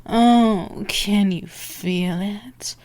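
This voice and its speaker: Woman playing a slow, teasing predatory vampire woman. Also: mid-range voice, darkish tone. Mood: gloating. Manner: taunting, sultry, drawn-out, often dropping into breathy whispers. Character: dominant, teasing, predatory female vampire stalking her prey. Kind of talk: ASMR roleplay acting.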